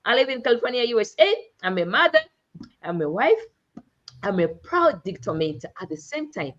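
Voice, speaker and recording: A woman from Uganda hosting a live online talk show, with an exuberant, drawn-out emphatic delivery. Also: medium pitch, sing-song. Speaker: host. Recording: dull lo-fi online stream audio.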